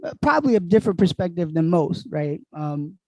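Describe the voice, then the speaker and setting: High-voiced laid-back New man. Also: big pitch swings, no echo, rough recording. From Queens, New York. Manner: laid-back, laughs often, drawn-out explanations. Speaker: guest DJ. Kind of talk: casual podcast chat. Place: small bright room at home. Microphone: handheld dynamic mic held close.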